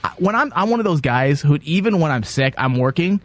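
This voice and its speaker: A man's voice: on the high side, fairly quick, dry recording, faint music behind. Stuffy-nosed man joking as host. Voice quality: nasal. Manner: joking, rambling, lively. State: sick, stuffy nose. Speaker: podcast host. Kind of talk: casual comedic chat.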